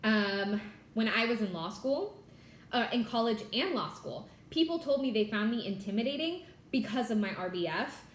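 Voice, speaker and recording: A woman's voice: on the high side, expressive, normal speed, roomy sound, slight background noise. A young adult woman from California, chatting casually to camera as the host of a stitching vlog, bubbly and full of fillers like "like" and "y'all". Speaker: Flosstube host.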